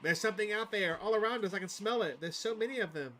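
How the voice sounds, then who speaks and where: Man as a talking dog, fastidious, wary, prim, disgusted, slightly high voice, horror game. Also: sharp tone, a little hiss or hum. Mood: alarmed.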